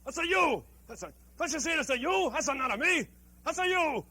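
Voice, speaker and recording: Squeaky bickering little creature. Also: male voice, lively intonation, brisk, pushed and bright, full character voice. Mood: insistent. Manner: insistent, repetitive, squeaky.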